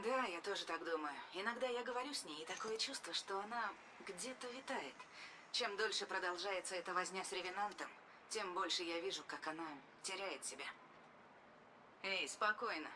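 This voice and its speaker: A woman's voice: medium pitch, normal speed, natural voice. An actress playing a guarded, reassuring young woman fighter in a game audio drama, casual and confiding. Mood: quiet reassurance. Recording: clear ambient noise behind the voice.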